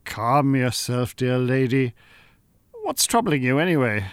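A man's voice: medium pitch, lively intonation, measured pace, clean tone, no room sound, clean studio sound, close to an everyday voice. A man with an Irish accent playing a calculating con-artist hypnotist in a radio drama, courteous, soothing and unctuous. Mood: unctuous, sympathetic. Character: smooth, calculating male con-artist hypnotist posing as scientist.

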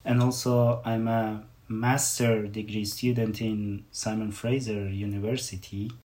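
A man in his forties from Afghanistan, a guest answering interview questions with many pauses and fillers. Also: lowish voice, little pitch movement, a little mellow. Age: 40s.

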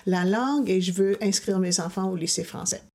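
A woman's voice: mid-range voice, fairly quick, over music. A woman with a Canadian French accent, earnestly recounting her memories as an interviewee.

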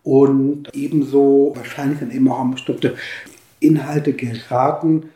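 Mellow-voiced man in an echoey room. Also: mid-range voice, little pitch movement, unhurried. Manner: formal, academic wording with hesitations. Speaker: interviewed media expert. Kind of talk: interview answers, considered explanation.

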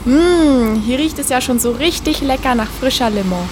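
A woman playing a cheeky, curious little talking lemonade bottle in a children's radio play, expressive and questioning. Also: high voice, moderate pace, noisy background, lightly acted. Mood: delighted.

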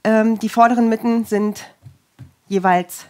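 Woman late 30s sewing and explaining steps, matter-of-fact, slightly high voice. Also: fairly even intonation, unhurried, dark tone. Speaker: guest. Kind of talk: casual chat while sewing.

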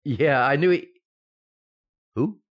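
An actor playing an excitable, befuddled, affectionate husband in a 1940s-style radio sitcom, with stammering comic delivery. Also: male voice, on the high side, slow, highs cut off. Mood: sheepish, subdued.

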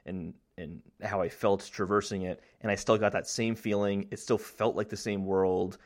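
A man's voice: lowish voice, fairly even intonation. Rambling young man talking games. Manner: rambling, halting, self-deprecating. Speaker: podcast co-host. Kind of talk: casual chat about video games.

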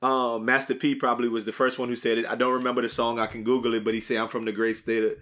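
A man's voice: medium pitch, level tone, fast talker, a bit bright. A young man hosting a podcast, chatting loosely with lots of laughter and rambling asides. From Arizona. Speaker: podcast host. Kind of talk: casual banter.

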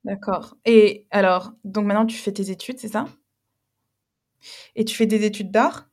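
A woman leads a relaxed interview. She asks questions, listens closely and keeps up a steady stream of short agreeing replies. Her voice sits at a middle pitch. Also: normal speed. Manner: attentive, frequent short backchannels. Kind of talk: casual interview.

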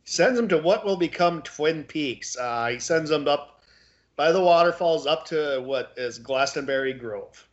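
Halting man co-hosting a podcast, dull audio. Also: a little high, expressive. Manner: halting, searching for words. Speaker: podcast co-host. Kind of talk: casual discussion of a book.

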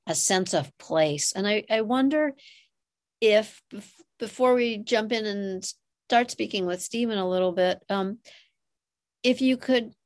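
A woman hosting a conversational interview, asking long, reflective questions in a halting way. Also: mid-range voice. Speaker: podcast interviewer.

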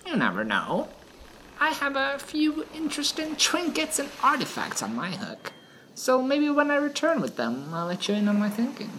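A woman's voice: high-pitched, wildly swooping pitch, moderate pace, a bit bright, heavily acted, low-quality recording. A woman playing a seasoned, playful gnome adventurer and knowing mentor in a fantasy audio drama. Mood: playful, wry. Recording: noticeable ambient background noise.

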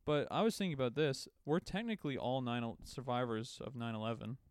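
A man in his twenties co-hosting a podcast, joking in a sarcastic, deadpan way. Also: mid-range voice, fairly quick, clear, resonant tone, no room sound. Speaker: podcast co-host. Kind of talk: casual chat.

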